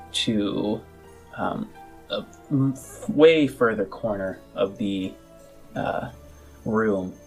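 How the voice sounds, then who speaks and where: Guy game master describing a scene off the cuff, low voice, halting and casual, soft music behind. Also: poor audio. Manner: halting, casual, searching for words. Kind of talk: improvised tabletop game narration.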